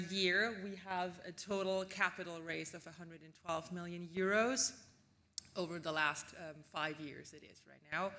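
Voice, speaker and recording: Woman, keynote speaker, bright clear tone, relaxed chatty delivery full of ums. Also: mid-range voice. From Austria. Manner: casual, chatty delivery with frequent ums and tag questions. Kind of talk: conference keynote presentation.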